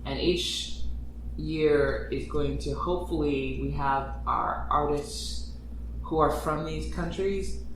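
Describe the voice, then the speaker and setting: A man in a casual chat about writing stories, who rambles, restarts his thoughts and grows animated when he retells a plot. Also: fairly high pitch, slow pace, background noise. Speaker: podcast creator and writer. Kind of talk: casual conversation. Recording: echoey room, distant mic.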